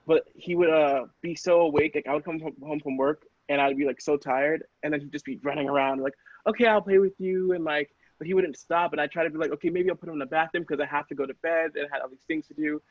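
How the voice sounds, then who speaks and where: A man's voice: a little high, fairly even intonation, quick pace, few pauses, no room sound. A man casually telling a personal story in a rambling, hesitant, self-questioning way. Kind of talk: casual personal anecdote chat. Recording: dull, dry, lo-fi audio.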